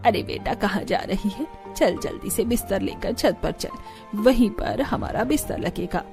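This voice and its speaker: A woman voicing a bossy, cheerful older village mother-in-law with a brisk, coaxing, self-assured manner in a cartoon story. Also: medium pitch, animated, music in the background, lightly acted.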